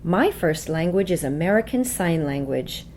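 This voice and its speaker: A woman's voice: lowish voice, animated, moderate pace, a little mellow. A woman voicing an earnest, insistent deaf patient in an educational dramatization. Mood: indignant, insistent. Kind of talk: voiced dialogue and scripted explanation in an educational video. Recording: faint background noise.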